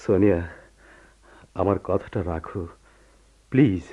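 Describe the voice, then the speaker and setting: A man playing an authoritative yet caring senior office boss in a radio drama, with a measured, persuasive way of talking. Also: deep voice. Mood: pleading. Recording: dull lo-fi radio drama recording.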